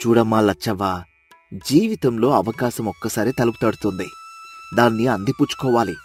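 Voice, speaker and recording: Man acting stubborn greedy village husband, low voice. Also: moderate pace, a little mellow, faint music behind, close to an everyday voice. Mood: bossy, persuasive. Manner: dismissive, bossy, persuasive. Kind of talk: dramatized moral story.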